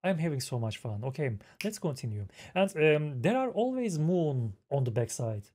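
A man in his thirties with a Turkish accent, hosting a reaction video with halting, admiring remarks and fillers, speaking into a headset mic in a small room at home. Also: on the high side, sing-song. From Turkey. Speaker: reaction channel host. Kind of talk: casual reaction commentary. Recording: loud music from the video underneath.

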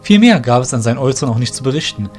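A man narrating a fantasy parody audio drama in a measured, descriptive scripted reading. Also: mid-range voice, expressive, darkish tone, soft background music.

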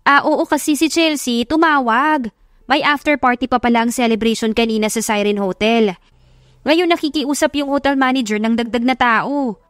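A woman voicing a friendly, brisk older businesswoman in an audio drama, chatty and practical. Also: a little high, steady tone, a bit bright, no room sound, clean studio sound, close to an everyday voice. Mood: friendly, businesslike. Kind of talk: Tagalog audio drama acting.

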